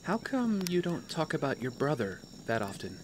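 Actor as young male trainee, mid-pitched, earnest, curious, conversational audio drama delivery, clear outdoor insect noise. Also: very animated, moderate pace, lightly acted. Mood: reflective. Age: young adult. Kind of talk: naturalistic audio drama acting.

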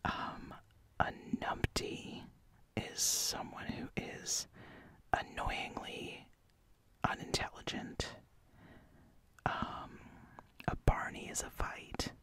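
Slow whispered ASMR voice. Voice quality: breathy, unvoiced whisper. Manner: hesitant, pausing and repeating words softly. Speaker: ASMR host. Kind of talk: whispered ASMR explainer of slang words.

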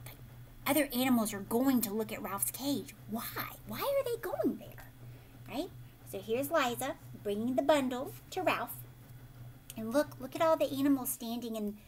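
A woman in her thirties reads a storybook to young children in a fairly high voice. She is expressive, explains as she goes and puts questions to her listeners. There is some background noise in the room. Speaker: teacher reading aloud to children. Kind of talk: picture-book read-aloud with questions to young listeners. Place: living room at home, indoors.

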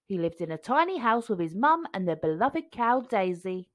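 A woman reading a children's storybook aloud with expressive narration. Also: mid-range voice, normal speed.

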